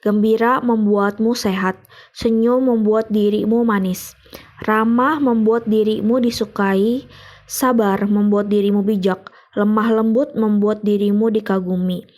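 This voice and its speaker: A woman's voice: medium pitch, fairly even intonation, unhurried, a little mellow. A young woman with an Indonesian accent giving a casual spoken devotional, chatty and laughing often, asking her listeners questions. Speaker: devotional speaker.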